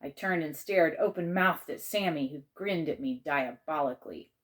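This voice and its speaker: A woman reading a children's chapter book aloud in measured, expressive narration. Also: sits low.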